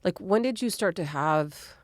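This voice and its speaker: Effusive woman hosting a podcast, lowish voice. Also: lively intonation, moderate pace, dry recording, studio quality. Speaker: podcast host interviewer. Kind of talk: enthusiastic podcast interview.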